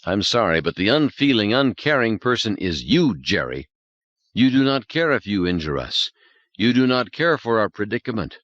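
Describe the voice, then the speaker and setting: A man voicing Norman, a calm adult man who reasons earnestly, in an audiobook reading. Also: on the low side, normal speed, dry recording, muffled recording, pitched above the performer's own voice.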